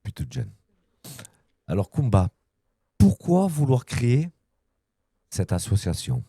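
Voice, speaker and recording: A man's voice: medium pitch, sing-song, unhurried, creaky, mellow, slightly rough, dry recording. A man hosting an interview podcast, asking questions with short, friendly prompts. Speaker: podcast host. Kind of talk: casual interview.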